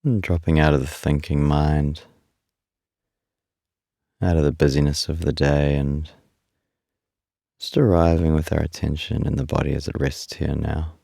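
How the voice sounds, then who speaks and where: Very deep-voiced man guiding meditation, gravelly. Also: dry recording, studio quality. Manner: calm, unhurried, soothing instruction with long pauses. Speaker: meditation guide. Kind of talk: guided body scan meditation.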